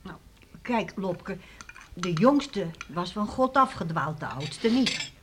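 A woman's voice: on the low side, lively intonation, slow. A woman playing a pious, strict but caring farm mother in a Dutch radio play, earnest and admonishing. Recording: noisy old radio drama recording.

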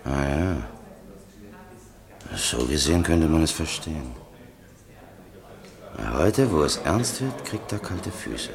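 Blunt, exasperated defender, extremely deep gravelly voice, radio crime drama. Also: male voice, expressive, takes it slowly, long pauses, a crowd in the background, heavily acted. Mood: impatient. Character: blunt, impatient older-style defender, exasperated with his workmates.

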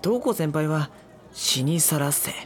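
A man playing a gentle, exasperated, self-deprecating high school boy in a Japanese anime audio drama. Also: lively intonation, slow pace, faint music behind. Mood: wry, complaining.

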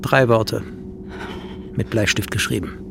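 An actor playing a brooding, lonely wanderer who narrates in a measured, introspective way in a radio play. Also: male voice, fairly low pitch, creaky, background noise. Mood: absorbed, tender. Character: brooding, lonely male wanderer, intense and observant. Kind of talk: German radio play, first-person narration.

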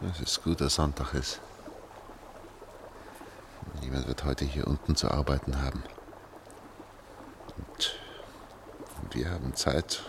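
An actor playing a steady, protective middle-aged family man in a radio play, measured and formal. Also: exaggerated voice. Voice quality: gravelly, very deep. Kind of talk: German radio play acting. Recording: clearly noisy, with watery ambience.

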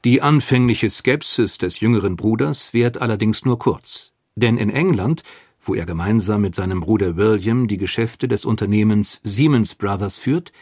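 A man narrating a history documentary in a calm, even, scripted way. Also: lowish voice, moderate pace, no room sound, studio quality.

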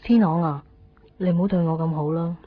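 An actress playing a tender, sorrowful young woman in a Cantonese radio drama, speaking softly and intimately with a Hong Kong accent. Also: fairly low pitch, fairly even intonation, a little fast, a little hiss or hum, natural voice. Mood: gentle. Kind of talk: Cantonese radio drama acting with inner monologue. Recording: dull lo-fi 1990s radio recording. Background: music.

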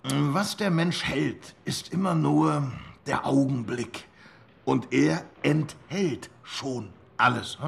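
An actor playing an earnest intellectual, lecturing in a measured, pedantic manner in a radio play. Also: male voice, mid-range voice, vocal fry, fairly bright tone, exaggerated voice.

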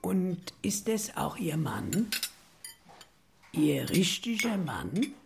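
A woman's voice: lowish voice, very animated, very slow, creaky, heavy background noise, exaggerated voice. An actress playing an inquisitive, sympathetic countrywoman in a radio drama. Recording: loud clatter of dishes.

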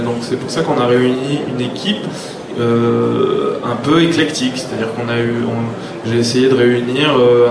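A man speaking informally at a round table, hesitant and repeating words as he searches for the next one. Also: medium pitch. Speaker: animation producer on a panel. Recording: echoey, distant, noisy live room recording.

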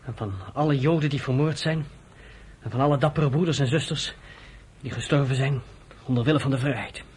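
An earnest young recruit to an underground movement in a radio play. His voice is husky and medium in pitch, determined, and a little distant from the microphone. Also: a little fast, darkish tone. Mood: solemn. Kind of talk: radio drama acting.

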